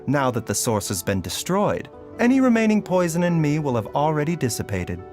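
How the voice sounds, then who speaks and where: Chill secretive doctor, calm and measured, lively pitch. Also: male voice, soft background music. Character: calm, secretive male doctor, gentle and composed. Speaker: game character. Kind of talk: video game voice acting.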